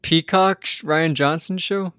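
A man's voice: on the high side, fairly even intonation, unhurried, fairly bright tone, no echo. A man co-hosting a podcast, chatting casually with a dry, teasing manner. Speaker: podcast co-host.

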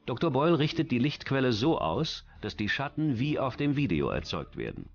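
A man's voice: medium pitch, sing-song, moderate pace, vocal fry, dull audio. A man reading scripted documentary narration in as the narrator. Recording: music under the voice. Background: background music.

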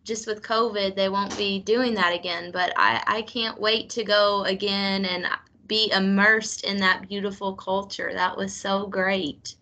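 A woman in her thirties speaks up casually as a seminar participant on a video call. Her tone is bright and forceful, with an enthusiastic delivery. Her pitch is average for a woman. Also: level tone, measured pace, poor audio. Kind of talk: casual chat. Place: indoor office on a video call. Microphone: computer on video call.

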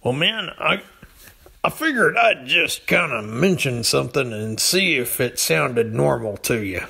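A man's voice: mid-range voice, expressive, measured pace, gravelly, sharp tone, husky. A man chatting casually and comically as a podcast storyteller, laid-back and hesitant. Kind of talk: casual comedic chat.

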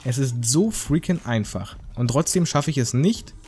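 A man's voice: mid-range voice, expressive, moderate pace, a little mellow, husky, faint music behind. A man giving casual, joking game commentary in a rambling, slangy, mock-indignant way. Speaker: gaming video host.

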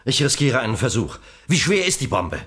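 A man's voice: mid-range voice, sing-song, fairly quick, a bit bright, breathy edge. A man playing a brave, determined young hero in a children's science-fiction audio drama, urgent and decisive.

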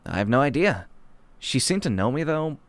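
A man playing a blunt, skeptical, nagging high-school teenager in a manga dub. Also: very animated, moderate pace, no room sound, clean studio sound. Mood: nagging, offhand.

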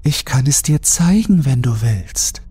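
A mysterious, persuasive woman acted out by a man in a horror audio story. The voice is lifted high and unhurried, gentle and coaxing, with music underneath. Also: lively intonation, slightly rough.

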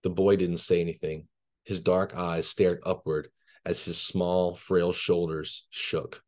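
A man in his thirties from Louisiana, reading his horror fiction aloud in a measured way, then answering questions casually on a video call from a bedroom. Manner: low, measured, even reading voice. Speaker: guest author. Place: bedroom at home. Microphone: video call laptop camera.

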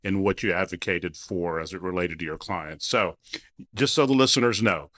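Host: low-pitched man from South Texas, friendly judge interviewing a guest, dry close sound. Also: moderate pace, a bit bright, no echo. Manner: friendly, conversational questioning. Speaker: judge hosting a podcast. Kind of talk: podcast interview.

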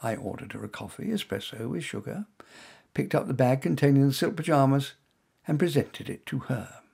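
A man reading his own short story aloud as first-person narration in a wry, expressive manner. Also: mid-range voice, moderate pace, creaky, slightly rough.

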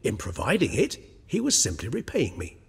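An actor playing an erudite, pensive gentleman scholar in a video game, speaking in formal, wordy musings. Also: mid-range voice, wildly swooping pitch, normal speed, gravelly, husky, in a large hall, exaggerated voice. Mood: measured, pleased. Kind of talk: video game dialogue acting.

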